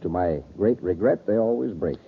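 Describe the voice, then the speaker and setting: A man's voice: deep voice, expressive, normal speed, vocal fry, breathy edge, heavily acted. An actor playing an earnest, courteous male scientist who explains things precisely in an old-time radio drama. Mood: explaining, courteous. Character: earnest male scientist, a doctor running a space project. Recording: muffled, thin vintage radio recording.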